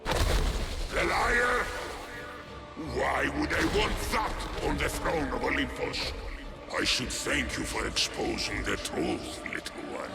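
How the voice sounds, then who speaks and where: An actor playing a boastful monstrous titan villain in a video game, declaiming with grandiose, theatrical menace. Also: male voice, on the high side, very animated, slow, creaky, echoey room, over loud music, heavily acted. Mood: menacing, scornful.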